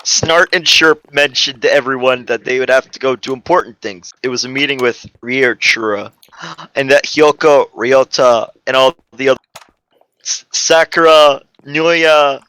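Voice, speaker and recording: A man's voice: mid-range voice, steady tone, a little slow, bright, forceful tone, clear, resonant tone, rough recording. A man giving an amateur dramatic reading of a story, stumbling over the text and breaking off into casual, exasperated asides. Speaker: reader of a fan fiction story. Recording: faint background noise.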